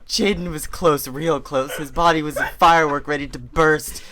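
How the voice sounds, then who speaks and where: Mid-pitched, theatrical reader of fan fiction. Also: steady tone, moderate pace, a bit bright. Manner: theatrical, stumbles over words. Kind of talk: mock-serious dramatic reading of fan fiction.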